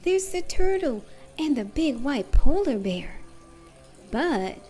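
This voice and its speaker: A woman with a high voice reads rhyming children's verse at a slow pace. She is expressive and deliberate, and music plays clearly behind her. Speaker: storybook reader. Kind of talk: children's read-aloud, rhyming narration.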